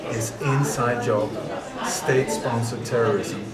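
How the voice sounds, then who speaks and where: A man's voice: medium pitch, a little slow, loud music behind the voice. A man who grew up in Denmark and Sweden, giving an earnest, emphatic interview at a conference and repeating his key words. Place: conference venue indoors. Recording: echoey conference venue with crowd. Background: loud crowd chatter.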